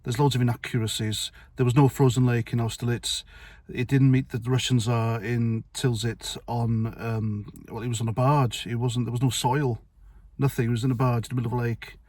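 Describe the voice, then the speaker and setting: Middle-aged male vlogger, mid-pitched, dry sound, rambling and sweary. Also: flat delivery, a little fast, hardly any pauses, a little mellow, no echo. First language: Welsh. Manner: blunt, rambling, sweary, with restarts. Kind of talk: casual opinion monologue to camera.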